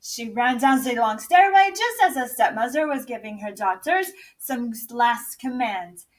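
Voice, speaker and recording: A woman's voice: fairly high pitch, expressive, unhurried, far from the mic. A woman teacher reading a storybook aloud very expressively, recorded a little away from the mic.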